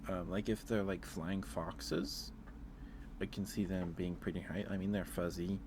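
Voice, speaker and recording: A man's voice: sits low, level tone, unhurried, background noise. A man chatting casually on an ASMR livestream, rambling hesitantly and repeating his phrases, close to the microphone.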